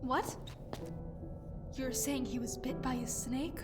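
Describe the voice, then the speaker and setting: A woman's voice: sits high, slow pace, over loud music. An actress playing an anxious, doting royal mother in an audio drama, gushing and fretful. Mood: grateful, fretful.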